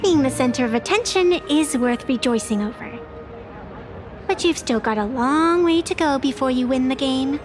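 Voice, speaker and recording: A woman's voice: sits high, lively intonation, moderate pace, over music. An actress playing a playful, theatrical young woman with secret powers in a game, with a dramatic delivery. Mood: teasing, upbeat. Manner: teasing, dramatic. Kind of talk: scripted game dialogue.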